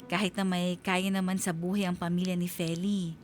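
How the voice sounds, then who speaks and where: A woman's voice: lowish voice, little pitch movement, normal speed. A woman radio host reading a listener's letter as heartfelt, dramatic scripted narration.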